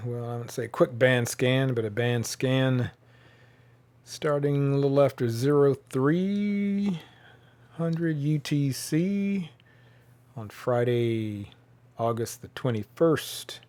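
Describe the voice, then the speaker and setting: A man giving casual, unscripted commentary as a radio hobbyist, low-key and halting as he thinks aloud. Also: mellow. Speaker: shortwave radio hobbyist presenting. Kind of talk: casual unscripted commentary while tuning and showing gear.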